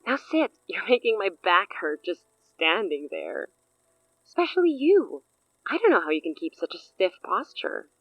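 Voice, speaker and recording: An actress playing a poised, teasing society hostess with veiled menace in an audio drama. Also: female voice, medium pitch, very animated, moderate pace, dry recording, muffled recording. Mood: amused, teasing. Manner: arch, theatrical, veiled menace.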